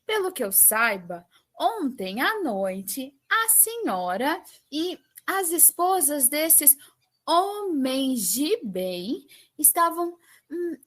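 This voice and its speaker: A woman playing a sassy, lovestruck young princess in a comedic audio drama, defiant and whining with drawn-out theatrical sarcasm. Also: high-pitched, exaggerated voice. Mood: mocking.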